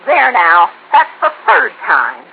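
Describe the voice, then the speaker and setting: A woman's voice: mid-range voice, huge pitch swings, takes it slowly, gravelly, heavily acted. A woman playing a crotchety, blunt housekeeper in an old-time radio drama, grumbling and scolding in folksy fashion. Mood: cranky, scolding. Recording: tinny old radio recording, hissy.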